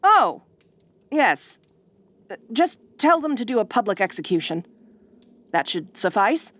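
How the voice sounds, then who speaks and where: A woman plays a proud ice queen who rules every sentence. She speaks slowly and formally, with sweeping, expressive intonation. Her manner is bossy and condescending, with a guarded fondness underneath, in an intimate audio roleplay addressed to the listener. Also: medium pitch. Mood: imperious. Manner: imperious, formal, drawn-out condescending phrasing.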